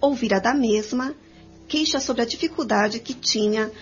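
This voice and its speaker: A woman's voice: on the high side, normal speed, soft background music. Female radio drama narrator, slightly dull sound. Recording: dull recording with faint noise.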